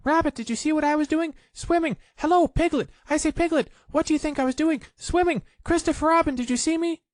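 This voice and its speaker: A man voicing a tiny, excitable young boy kangaroo in a children's storybook, squeaky, proud and eager. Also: brisk, dry recording, studio quality. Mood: eager, chattering. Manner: eager, boastful, exclaiming.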